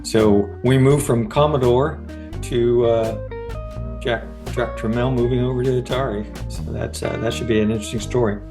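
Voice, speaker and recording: A man's voice: medium pitch, fairly even intonation, normal speed, over music. A middle-aged American man, a podcast host, talking informally in a casual discussion. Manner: informal, conversational.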